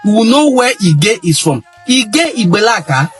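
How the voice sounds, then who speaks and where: A man's voice: sits high, very animated, moderate pace, soft background music. A middle-aged Nigerian man giving an impassioned monologue on history, emphatic and repeating phrases for stress. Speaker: cultural history commentator.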